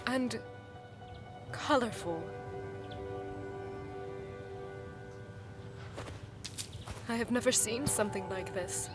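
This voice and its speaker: An actress playing a wide-eyed, plain-spoken young goddess in an audio drama, innocent and direct. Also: female voice, fairly high pitch, normal speed, loud music behind the voice, close to an everyday voice. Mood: awed.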